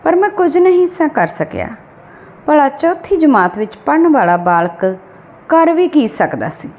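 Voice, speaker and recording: A woman's voice: high-pitched, big pitch swings, normal speed, darkish tone. A woman reading a literary short story aloud as scripted audiobook narration.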